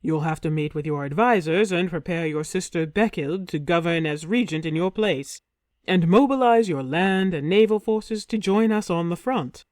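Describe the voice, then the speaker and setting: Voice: medium pitch, moderate pace, a little mellow, no room sound, close to an everyday voice. Reader doing a young guy faking being a king, firm and a bit stiff, storybook, dry. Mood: explaining. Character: young male hero posing as a king, awkward. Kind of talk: storybook reading.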